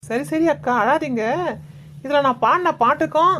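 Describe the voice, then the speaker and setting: A woman voicing a gentle, puzzled village girl. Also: sits high, moderate pace, a little hiss or hum. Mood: soothing. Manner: soothing, questioning, humming film songs. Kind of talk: Tamil cartoon voice acting.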